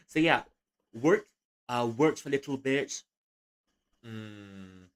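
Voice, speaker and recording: A young man from Malaysia chatting casually on a livestream, rambling with frequent restarts and soft laughs. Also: mid-range voice, expressive, slow pace, smooth.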